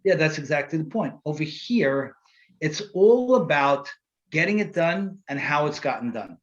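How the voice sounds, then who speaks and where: A man's voice: fairly high pitch, animated, moderate pace, lo-fi sound. A man, a rabbi, leading an interactive class over a video call, repeating phrases for emphasis.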